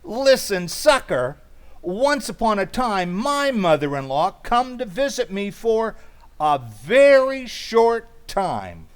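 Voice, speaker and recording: A man's voice: high-pitched, very animated, takes it slowly, full character voice. A man playing a middle-aged, wry and henpecked working-class pal in old-time radio comedy, with sardonic, folksy speech. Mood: cynical warning.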